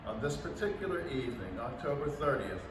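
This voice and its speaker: A man playing a solemn, eloquent narrator in a staged 1930s radio drama reading, speaking in a measured, formal way. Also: mid-range voice, sing-song, lightly acted. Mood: matter-of-fact. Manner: measured, formal, literary delivery. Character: solemn, eloquent male narrator of an alien invasion. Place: public library room, staged reading. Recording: distant, very echoey room with background noise.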